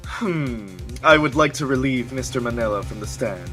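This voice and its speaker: A man's voice: medium pitch, expressive, normal speed, bright, forceful tone, faint music behind. A man playing a stern, pompous elderly judge in an amateur courtroom game fan dub, speaking in a formal, declamatory way. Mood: solemn. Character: stern pompous elderly male judge presiding over a trial.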